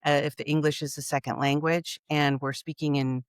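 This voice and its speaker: A woman with a Californian accent, a guest in a casual interview, talking things through thoughtfully and trailing off into softer asides. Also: low voice, little pitch movement, a little fast, no echo, clean studio sound. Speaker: podcast guest, culture strategist.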